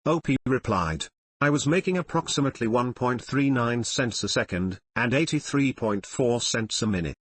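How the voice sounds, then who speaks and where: Husky male narrator, close dry sound. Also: mid-range voice, expressive, normal speed, no room sound. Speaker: narrator reading online forum posts and comments. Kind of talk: scripted narration of forum stories.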